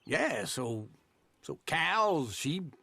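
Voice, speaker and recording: A lazy old frontier wisecracker for a western game. His rural American drawl is slow and folksy, with a bright, creaky edge, and it swings widely in pitch. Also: very animated, full character voice. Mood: scornful, needling. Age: older. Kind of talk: cinematic video game western acting.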